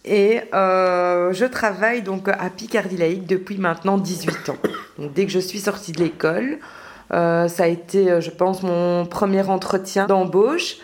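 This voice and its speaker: A woman's voice: fairly low pitch, fairly even intonation, normal speed. A woman, a community association worker, speaking reflectively with many hesitations. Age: 40s. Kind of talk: reflective interview talk.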